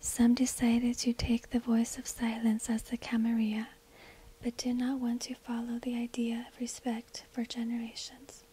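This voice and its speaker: A woman whispering breathily in a hushed, deliberate ASMR narration, close to a binaural microphone. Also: a little high, monotone, breathy edge, low-quality recording. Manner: slow, deliberate, hushed delivery. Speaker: ASMR video host and shopkeeper.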